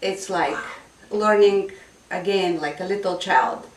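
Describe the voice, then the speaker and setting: A woman's voice: medium pitch, slow pace. Woman guest, echoey distant sound. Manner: earnest, reflective, with filler ums. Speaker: English teacher for immigrants and refugees. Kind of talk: interview guest, casual. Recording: echoey room, voice a bit off-mic.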